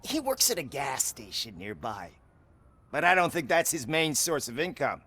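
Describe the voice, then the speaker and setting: Arrogant game celebrity: animated pitch, sarcastic, irritable, theatrical sneer. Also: male voice, moderate pace, breathy edge, full character voice. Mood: sly. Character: arrogant, foul-mouthed TV star, irritable and smug. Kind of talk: video game cutscene acting.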